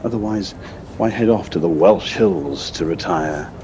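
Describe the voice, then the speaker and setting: A man playing a concerned, reasonable male companion in an audio play, speaking in a considerate, gently questioning way. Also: low voice, unhurried. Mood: doubting, gently teasing. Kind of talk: audio play acting, naturalistic dialogue. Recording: dull lo-fi device recording, faint noise.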